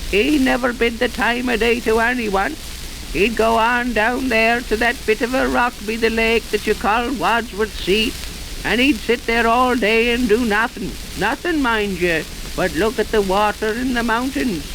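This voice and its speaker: An actor playing a garrulous, aggrieved old blacksmith with a rural English accent in an old-time radio drama. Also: male voice, very high voice, a little slow, vocal fry, exaggerated voice. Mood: aggrieved, grumbling. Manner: folksy, grumbling, repeats himself for emphasis. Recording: noisy, hissy, crackling old radio recording.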